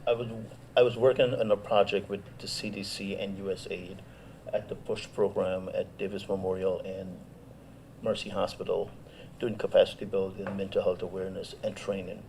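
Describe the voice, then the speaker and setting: A man's voice: low voice, level tone, normal speed, a little mellow, rough recording. A middle-aged man from Guyana introducing himself as a guest in an interview. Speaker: guest, clinical psychotherapist. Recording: faint background noise.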